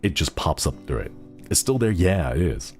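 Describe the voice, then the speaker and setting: Guy with a super low gravelly voice, chatty laid-back streamer, faint music behind. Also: very animated, moderate pace, a little mellow. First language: Xenokuni (in-fiction language). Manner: rambling and easygoing, giggles often. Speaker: livestreamer. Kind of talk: casual stream chat, reading viewer messages.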